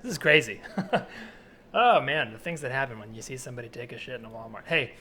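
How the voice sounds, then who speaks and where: A man improvising a comedy scene as a giddy, conflicted vegan who longs to hunt, in a halting, excitable way. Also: medium pitch. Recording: remote recording with faint background noise.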